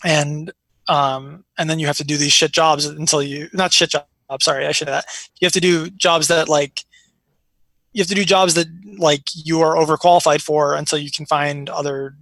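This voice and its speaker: American guy chatting casually, kinda rambly, sharp bright voice, really dry close sound. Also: on the high side, little pitch movement, normal speed, dry recording. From born in Iraq, raised in Chicago, United States. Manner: rambling, informal, frequent filler "like". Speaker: interview guest. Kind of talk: casual conversation, personal stories.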